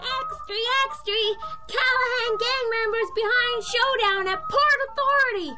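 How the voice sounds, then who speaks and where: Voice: very high-pitched, unhurried. A performer playing a young street newspaper seller, crying out headlines in 1930s radio style. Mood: urgent hawking. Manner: crying out, street-vendor delivery. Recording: loud music under the voice.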